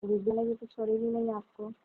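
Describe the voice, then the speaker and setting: High-voiced woman on a tinny phone line. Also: monotone, fairly quick, no room sound. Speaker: caller. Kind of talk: casual flirtatious phone chat. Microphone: phone call. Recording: thin narrow-band phone line.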